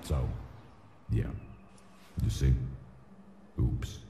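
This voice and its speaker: A man's voice: level tone, drawn-out words, mellow, heavily acted. A man playing God as a laid-back, self-absorbed gamer, talking in casual slang in a cartoon video game. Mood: sheepish. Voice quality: very deep, gravelly. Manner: slangy, casual slacker talk. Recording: roomy echo with music behind.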